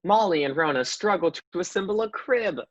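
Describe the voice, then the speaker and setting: A woman's voice: lowish voice, lively intonation, normal speed, dry recording, muffled recording. A woman comedian reading comedic scripted narration.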